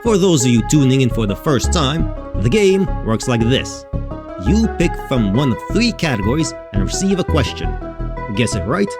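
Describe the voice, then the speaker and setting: A man acts out a showy game show host in an audio drama. His mid-pitched voice swoops about in theatrical presenter patter, with loud music behind him. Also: sing-song, normal speed, natural voice. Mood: showy, upbeat.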